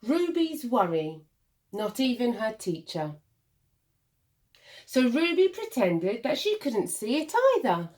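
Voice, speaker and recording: Slow, animated woman reading a children's storybook. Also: a little high. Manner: deliberate, dramatic pauses and emphasis for young listeners. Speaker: primary school teacher reading a picture book.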